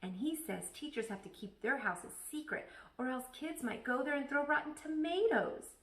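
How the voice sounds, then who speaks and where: A woman's voice: high voice, normal speed, fairly bright tone, recorded from a distance, voice pushed up. A woman voicing a bossy, know-it-all kindergarten girl in a children's storybook, snippy and emphatic. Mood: snippy, insistent. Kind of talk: children's storybook read-aloud. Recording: echoey room.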